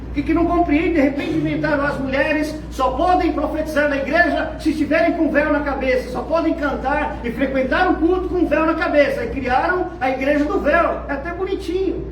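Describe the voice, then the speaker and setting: A Brazilian man with a Brazilian Portuguese accent preaching a fervent sermon, dropping to low murmurs and rising to shouts. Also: a little fast, few pauses. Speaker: pastor. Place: church. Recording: echoey, distant, noisy church hall.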